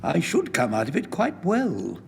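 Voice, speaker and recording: A man's voice: a little high, wildly swooping pitch, unhurried, gravelly, in a large hall, slight background noise, exaggerated voice. A man acting a suave, menacing, sardonic villain in an audio drama, with a haughty, theatrical manner. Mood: smug.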